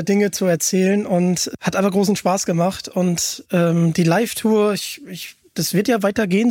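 A man hosting a podcast, chatting casually and enthusiastically, often halting and repeating words as he asks questions. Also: high-pitched, darkish tone, no room sound, clean studio sound. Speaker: podcast host. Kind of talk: casual chat, interviewing.

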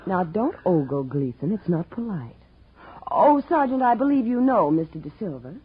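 A woman's voice: on the low side, sing-song, moderate pace, breathy edge, exaggerated voice. A woman playing a detective's playful, teasing female sidekick in a 1940s radio drama, arch and coy. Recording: dull old radio recording.

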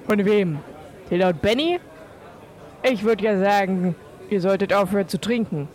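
A woman playing a sceptical, no-nonsense pub keeper, brisk and dismissive, in an amateur audio drama. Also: medium pitch, a little mellow, a faint crowd, lightly acted. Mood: exasperated.

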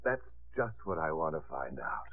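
An actor playing a wry, teasing, persistent sculptor and suitor in a 1950s radio drama. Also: male voice, a bass voice, big pitch swings, moderate pace. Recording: tinny, band-limited 1950s radio broadcast.

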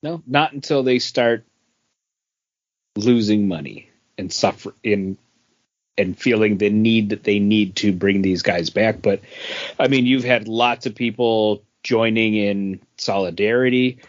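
A man co-hosting a podcast, telling anecdotes in an informal, halting, conversational way. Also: lowish voice. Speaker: podcast co-host. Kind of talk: casual chat and anecdotes. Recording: dry but dull lo-fi audio.

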